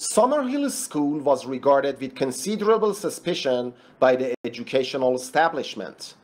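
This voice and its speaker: A narrator reading short formal passages aloud for an English exam lesson, with measured, careful enunciation. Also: medium pitch, big pitch swings, darkish tone. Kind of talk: scripted read-aloud of exam practice passages.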